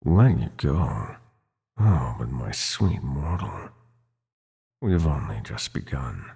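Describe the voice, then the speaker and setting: Very deep, gravelly nightmare god. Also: male voice, big pitch swings, mellow, heavily acted. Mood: teasing, seductive. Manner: slow, theatrical, teasing drawl. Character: sinister seductive nightmare god, predatory, gloating, possessive male. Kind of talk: roleplay audio drama, ASMR. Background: music.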